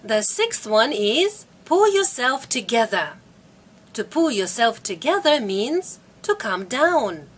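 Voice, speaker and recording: A middle-aged woman teaches English to learners. Her voice sits a little high and rises and falls a great deal. She speaks slowly and repeats each phrase clearly. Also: sing-song. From Russia. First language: Russian. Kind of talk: slow, careful video lesson.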